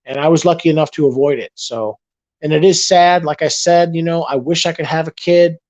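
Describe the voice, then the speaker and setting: Guy on a late night stream reading chat, kinda high voice, going hoarse, rambles and repeats himself, dry close mic. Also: brisk, darkish tone, no room sound. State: losing his voice after a long stream. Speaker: livestream host reading chat.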